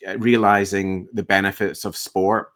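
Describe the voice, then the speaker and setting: A man in his early fifties with a Scottish accent and a fairly low voice. He talks casually as an interview guest, pausing and hesitating as he reflects. His manner is self-deprecating. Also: level tone, fairly bright tone. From west coast of Scotland. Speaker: guest, entrepreneur and coach.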